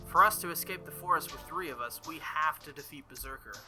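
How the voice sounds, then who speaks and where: A man's voice: fairly high pitch, fast talker, over music, natural voice. A man reading the lines of a confident young woman mage from a visual novel, explaining matter-of-factly.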